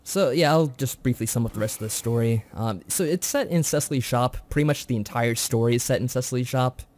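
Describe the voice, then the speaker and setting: A man's voice: medium pitch, a little mellow. A man co-hosting a review show, recapping a story in a chatty, informal way. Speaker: co-host of a visual novel review show.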